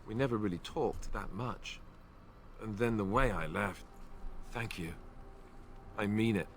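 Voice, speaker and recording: An actor voicing an earnest young warrior in a fantasy game, speaking hesitantly and with feeling. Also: male voice, lowish voice, a little slow, a little hiss or hum. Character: earnest, self-doubting young warrior man.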